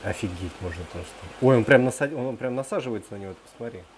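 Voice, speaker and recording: A man's voice: mid-range voice, lively intonation, quick pace. A man with a mid-range voice talks casually as he films a vlog. His commentary is unscripted and conversational. He is recorded on a handheld camera indoors, with faint water and room noise behind him. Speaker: vlogger filming a tour of private aquariums. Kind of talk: casual chat and off-the-cuff commentary. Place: indoor room full of large fish tanks.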